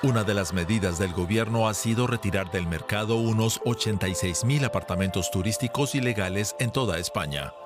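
A man reading a scripted news report as voice-over, in a measured, even manner. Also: on the low side. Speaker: news narrator. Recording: voice-over over loud music.

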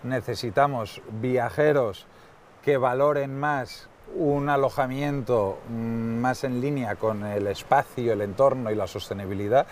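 A man who runs an eco-tourism farm talks in a thoughtful way, pausing as he goes. He is picked up from some distance away. Faint outdoor ambience sits behind him. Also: medium pitch, steady tone, a little hiss or hum. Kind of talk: interview statements.

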